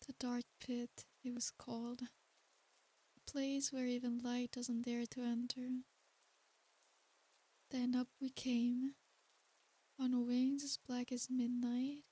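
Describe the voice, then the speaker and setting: An ancient female demon, acted by a woman, murmurs in a soft ASMR roleplay. Her voice is high and breathy, with an almost flat, unhurried melody. She is tender, doting and seductive, soothing the listener as if at bedtime. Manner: slow, intimate, soothing bedtime delivery.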